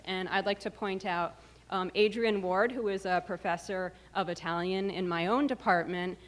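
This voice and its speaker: A woman faculty member giving panel discussion remarks in a measured way, pausing often on fillers. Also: on the low side. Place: lecture room.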